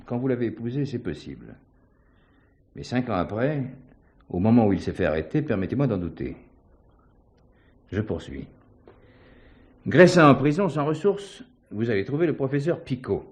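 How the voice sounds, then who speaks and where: A man playing a shrewd, assured police commissioner in a theatrical mystery, measured and ironically courteous. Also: mid-range voice, sing-song, lightly acted. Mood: methodical, accusing. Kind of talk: French stage mystery acting.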